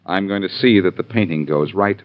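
An actor playing a cocky, teasing adventurer hero in a 1940s radio crime drama. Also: male voice, low voice, a little fast, close to an everyday voice. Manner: wry, teasing, unruffled. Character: cocky, smooth-talking adventurer hero, playfully defiant. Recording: muffled, hissy 1940s radio recording.

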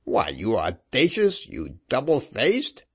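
A man voicing a hot-tempered, villainous naval officer in a storybook reading, blustering and seething with drawn-out words. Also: mid-range voice, huge pitch swings, a bit bright, slightly rough, heavily acted. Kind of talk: dramatised audiobook character voice.

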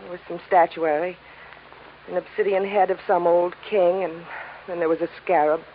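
A woman's voice: on the low side, steady tone, normal speed, natural voice. An actress playing a refined, anxious young woman, polite and guarded, in a 1950s radio drama. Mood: contrite. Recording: tinny, noisy old radio recording.

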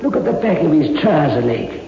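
Kindly morgue keeper, seventies, high creaky voice, echoey old radio recording. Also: male voice, sing-song, normal speed, mellow, highs cut off, slight background noise. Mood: contented, musing. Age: 70s. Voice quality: croaky old voice. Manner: chuckling, rambling, colloquial. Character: kindly, contented old male morgue attendant. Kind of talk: 1960s radio drama acting.

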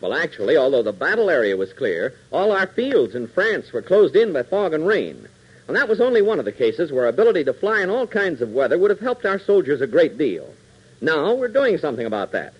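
High, husky 1940s radio announcer man. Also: very animated, fairly quick. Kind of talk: 1940s radio serial announcing and scripted narration. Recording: dull, lo-fi 1940s radio recording.

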